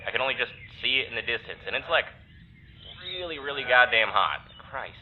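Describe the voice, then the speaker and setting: Male actor as snarky young man, phone-like filter, middling pitch, hesitant, uneasy, audio drama. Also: lively intonation, natural voice. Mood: wary, exasperated. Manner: hesitant, halting, sardonic. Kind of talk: naturalistic audio drama acting. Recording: tinny phone-like filter with background noise.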